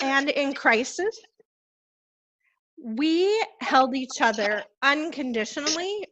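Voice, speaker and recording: A woman co-hosting a podcast over a remote call, speaking in a soft, reflective way. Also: a little high, rough recording. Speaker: podcast co-host. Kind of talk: casual chat. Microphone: remote call, echoing.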